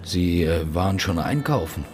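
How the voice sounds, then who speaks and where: Deep-voiced, methodical police chief. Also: male voice, lively intonation, a little mellow, slight background noise. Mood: polite, probing. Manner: measured, hesitant questioning. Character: sober, methodical male police chief detective. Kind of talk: naturalistic radio drama dialogue.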